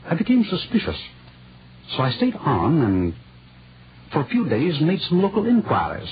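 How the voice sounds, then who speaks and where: An actor playing an earnest, agitated British lord with a formal manner, in 1940s radio style. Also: male voice, a little high, wildly swooping pitch, moderate pace. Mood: suspicious. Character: earnest, agitated young English lord seeking justice. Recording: muffled, hissy old radio recording.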